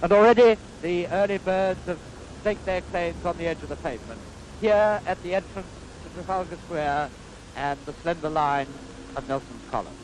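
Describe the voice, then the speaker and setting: A man with a Received Pronunciation British accent giving formal, stately 1950s newsreel commentary in measured phrases, on a vintage soundtrack. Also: high voice, a little hiss or hum, rough recording.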